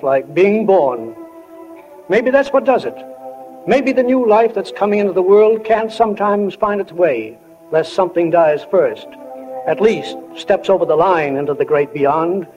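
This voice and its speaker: Casting: ruminative small-town narrator, high voice, old radio drama style over prominent music. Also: male voice, a little mellow, poor audio. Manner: plainspoken, ruminative. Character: philosophical folksy small-town narrator musing on life and death. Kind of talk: 1940s radio acting, reflective narration. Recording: 1940 radio broadcast with music underneath.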